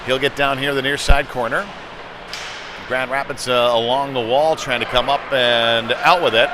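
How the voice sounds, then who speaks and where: A man's voice: medium pitch, fairly even intonation, normal speed. A man calling a youth-league style hockey game live, as a play-by-play commentator following the puck. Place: indoor ice rink during a game. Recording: noisy arena, clatter and hum behind.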